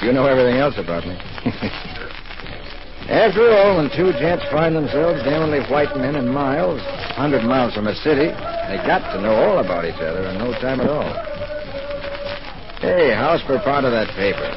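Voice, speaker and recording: Casting: easygoing, wisecracking male expatriate, animated mid-range voice; muffled 1940s radio play. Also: medium pitch, normal speed, over music. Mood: breezy, chummy. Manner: wisecracking, chummy. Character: breezy, contented American adventurer living in Africa.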